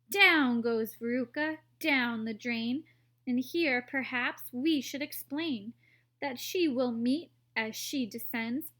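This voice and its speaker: A woman's voice: on the high side, lightly acted. A woman voicing a gleefully moralising chorus of little workers, delivering rhyming verse in a mocking sing-song. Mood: mocking glee. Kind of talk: rhythmic rhyming verse recitation.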